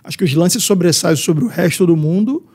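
A man in his thirties hosting a podcast, chatting casually with quick slangy interjections at a studio boom mic. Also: darkish tone. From Brazil. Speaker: podcast host. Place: small podcast studio with foam panels. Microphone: studio mic on boom arm, headphones.

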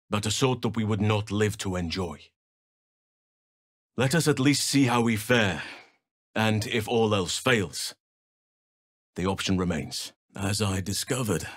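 A grave yet gentle elf druid for a fantasy video game. He is a big middle-aged male with a fairly deep voice and formal, measured phrasing. The sound is dry and studio-clean, free of room echo. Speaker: video game character actor.

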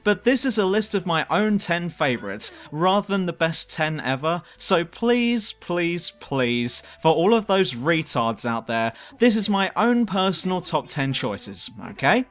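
A man's voice: a little high, big pitch swings, a little slow, few pauses, fairly bright tone. A man reading a scripted countdown review as host, with a cheeky, irreverent manner. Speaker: host and reviewer of a top ten list show.

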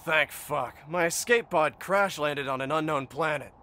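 Man acting defiant, forceful stranded space soldier. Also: a little high, unhurried. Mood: frustrated. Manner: blunt, combative, swearing. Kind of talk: audio drama acting.

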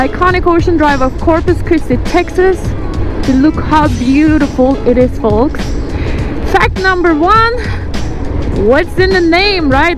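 A woman in her forties from Turkey with a Turkish accent, chatting excitedly to viewers while riding outdoors on a motorcycle. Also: very high-pitched. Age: 40s. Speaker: vlogger. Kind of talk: casual ride commentary.

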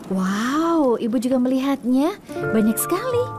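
A woman in her thirties, a mother talking gently and encouragingly to a small child with simple questions. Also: sits high, big pitch swings, unhurried, loud music behind the voice.

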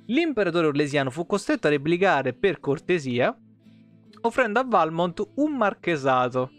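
Man, thirties, somewhat high voice, game streamer, reading lore aloud, irreverent casual commentary, faint background music. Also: sing-song, moderate pace. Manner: irreverent, foul-mouthed asides.

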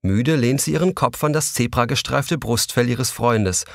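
A man's voice: mid-range voice, normal speed, vocal fry, no room sound, clean studio sound. A man narrating a scripted children's story as the narrator of an audio drama.

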